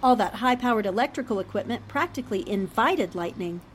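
A woman playing an earnest, analytical newspaperwoman in old-time radio drama style, shaken but determined and deliberate in speech. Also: mid-range voice, lively intonation, slight background noise, close to an everyday voice. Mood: reasoning.

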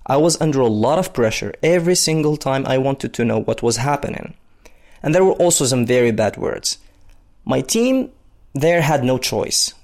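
A man's voice: mid-range voice, vocal fry, darkish tone. A man from the Democratic Republic of Congo, in his thirties, telling his personal story in a conversational way with frequent fillers. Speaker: interviewee, basketball player.

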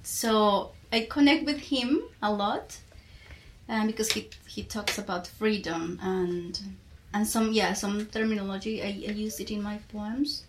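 A woman with a Colombian accent and Spanish as her first language talks in a casual, reflective way. She has a mid-pitched voice and often searches for her words, with faint noise in the recording. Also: a little slow. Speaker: podcast guest, poet and teacher.

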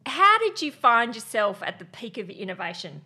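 A woman's voice: a little high, sing-song, normal speed, bright, forceful tone, breathy edge. A woman hosting an interview podcast in a polished, presenter style, introducing and questioning guests.

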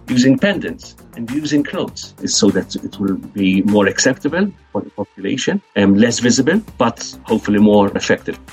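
A man's voice: fairly low pitch, big pitch swings, normal speed, few pauses, darkish tone, soft background music. A man speaking as an academic expert, explaining things in an interview. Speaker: academic expert, clinical advisor.

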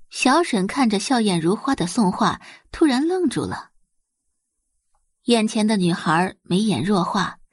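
A woman's voice: medium pitch, breathy edge, dry recording, studio quality. A woman narrating a scripted audiobook story in a dramatic storytelling style.

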